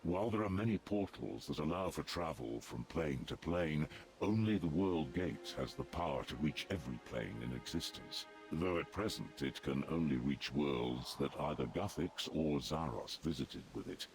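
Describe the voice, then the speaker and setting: An actor playing a solemn, devout ancient servant of a dark god in a fantasy video game, speaking formally and gravely. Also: male voice, animated. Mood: grave, explaining. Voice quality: very deep, gravelly. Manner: formal, grave, measured and declarative. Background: music.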